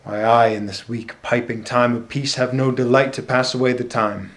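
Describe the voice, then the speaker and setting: Casting: male actor as bitter, plotting, misshapen royal, classical stage monologue, mid-range voice, measured dramatic delivery. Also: mid-range voice, flat delivery, natural voice. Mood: bitter self-loathing.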